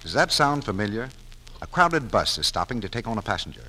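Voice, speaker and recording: Vintage radio announcer, man, lowish lively voice, emphatic scripted salesmanship. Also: fairly quick, over music, poor audio. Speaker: announcer, pitchman. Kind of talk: 1940s radio advertisement, scripted. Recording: vintage 1940s radio, faint noise.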